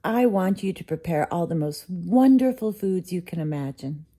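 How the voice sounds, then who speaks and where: A young mermaid princess from a bedtime story, acted out by a woman. She is dreamy and excitable, gushing and coaxing playfully, with her pitch lifted high above plain narration. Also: moderate pace. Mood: dreamy excitement.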